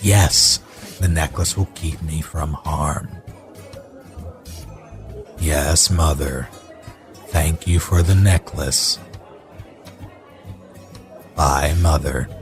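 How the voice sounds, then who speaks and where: Slow, deep-voiced exasperated husband. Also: male voice, over music, exaggerated voice. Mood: placating, patient. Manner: slow, placating, weary, exasperated. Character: Ben, exasperated, doomed husband working on finances. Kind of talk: horror audio drama acting.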